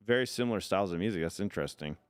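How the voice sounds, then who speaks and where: Lively low-voiced man joking on a podcast. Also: rapid-fire, noisy background. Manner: joking, animated, counts down a chart. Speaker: podcast co-host. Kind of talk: casual podcast chat.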